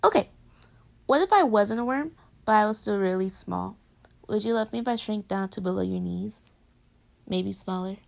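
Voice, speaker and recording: A woman's voice: mid-range voice, moderate pace, creaky, pauses often, lightly acted. A woman playing a playful, clingy young girlfriend in an intimate pillow-talk roleplay audio, teasing and affectionate as she rambles between murmurs and exclamations. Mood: playful, curious.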